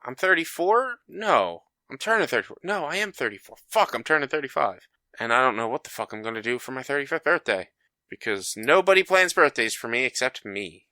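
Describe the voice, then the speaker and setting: A man in his mid-thirties chatting on a podcast with a bright, crisp voice. He swears freely and acts out quotes with animated energy. The mic is close and the sound is dry. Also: medium pitch, brisk, no room sound. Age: 30s. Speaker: podcast co-host. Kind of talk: casual chat.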